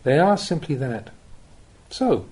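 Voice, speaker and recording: A man reading a spiritual teaching aloud as an audiobook, with measured, deliberate phrasing and pauses. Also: fairly high pitch, sing-song, warm tone, slightly rough. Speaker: Buddhist monk reading recorded teachings. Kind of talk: audiobook reading of a Dhamma talk.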